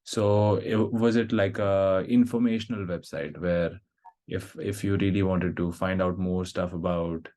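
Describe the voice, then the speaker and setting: Low-voiced male podcast host. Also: unhurried. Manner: relaxed, prompting questions with fillers. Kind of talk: casual interview.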